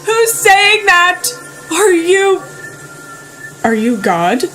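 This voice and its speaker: A woman plays a desperate, hungry runaway in an audio drama. Her voice is high and drawn out, childlike and plaintive in an overwrought way. Faint music sits behind it. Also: sing-song, extremely slow, fairly bright tone, heavily acted. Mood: wistful, pleading. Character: starving, naive, desperate female runaway after the apocalypse.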